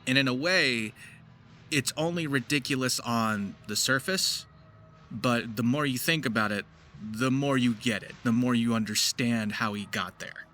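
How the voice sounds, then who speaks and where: A man's voice: mid-range voice, a little slow, sharp tone, slight background noise. A man speaking as a voice actor, giving casual explanatory commentary on his craft. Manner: slips into gritty character demonstrations. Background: music behind the voice.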